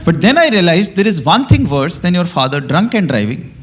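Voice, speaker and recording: Animated high-pitched Indian male stand-up comic. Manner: conversational storytelling with pauses before punchlines. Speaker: stand-up comedian. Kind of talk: stand-up comedy.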